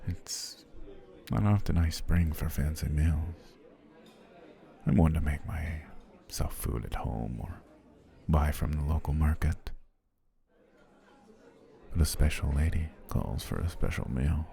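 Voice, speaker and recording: An actor playing an obsessive, smooth-talking male potion seller in an ASMR roleplay, speaking in soft, flowery, courtly phrases that often drop to a whisper. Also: rumbling low, slow pace, long pauses, a faint crowd, full character voice. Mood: adoring.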